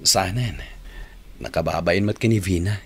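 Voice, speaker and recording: Earnest young boyfriend, low voice. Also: male voice, moderate pace, husky. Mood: reassuring. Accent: Filipino. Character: Dexter, earnest young male long-distance boyfriend. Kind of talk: radio drama acting.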